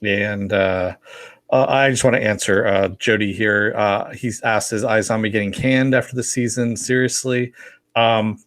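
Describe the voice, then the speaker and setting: A man's voice: on the low side, fairly even intonation, unhurried, a bit bright, no room sound, studio quality. A man co-hosting a live podcast in casual, conversational chat. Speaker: podcast co-host.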